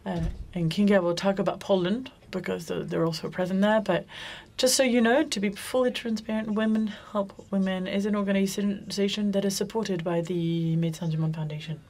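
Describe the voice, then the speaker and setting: A woman's voice: mid-range voice, fairly even intonation, fairly quick, hardly any pauses, a little mellow. A woman moderating a conference panel, speaking loosely and often correcting herself mid-sentence. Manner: loose, self-correcting, with frequent restarts. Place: conference room.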